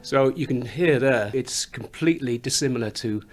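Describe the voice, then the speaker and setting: A songwriter with a slight Liverpool accent and a fairly high voice, chatting in a studio interview and laughing often as he explains how he writes songs. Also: normal speed, loud music behind the voice. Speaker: songwriter and musician. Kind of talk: radio interview chat. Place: recording studio.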